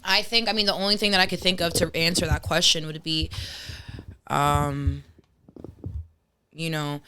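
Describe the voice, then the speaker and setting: A woman's voice: deep voice, level tone, moderate pace, noisy background. A young woman hosting a casual podcast chat, reflective and frank, with lots of fillers and some swearing. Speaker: podcast host. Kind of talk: casual conversation.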